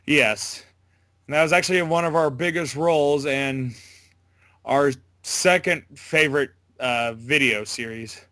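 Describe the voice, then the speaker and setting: Bright-voiced young-sounding man, halting chat. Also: fairly high pitch, dry recording, clean studio sound. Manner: stammering, halting, backtracking. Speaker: interview guest, amateur voice actor. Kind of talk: casual chat.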